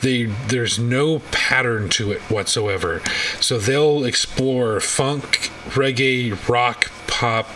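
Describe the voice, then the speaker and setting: A man hosting a casual live talk show, speaking haltingly and often stumbling over his words. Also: medium pitch, fairly bright tone. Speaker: podcast host. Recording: distant mic with faint background noise.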